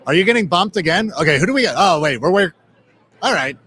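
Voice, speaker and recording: A man from Southern California hosting a casual live sports podcast, talking in an excitable, rambling way. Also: high-pitched, fast, slightly rough. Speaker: podcast host. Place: live podcast table at a busy convention media area.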